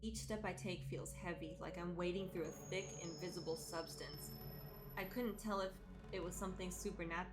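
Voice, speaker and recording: An introspective young horror-game heroine , read by a woman streamer, curious and determined. The delivery is measured and dramatic, lower-set, with music clearly behind. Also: fairly even intonation, natural voice. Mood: tense, solemn.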